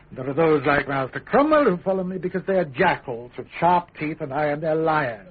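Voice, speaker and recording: Muffled radio recording, male actor as bluff imperious young English king, high-pitched, theatrical, swinging from bluster to hush. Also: slight background noise, lightly acted. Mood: earnest. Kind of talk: classic British radio drama acting. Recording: muffled, narrow-band radio broadcast.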